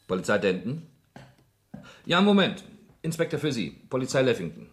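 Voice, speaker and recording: In a roomy, echoing space, a dutiful male policeman talks with lively swings of pitch. He is impatient and matter-of-fact, in the style of a radio crime play. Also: moderate pace. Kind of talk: German radio crime drama acting.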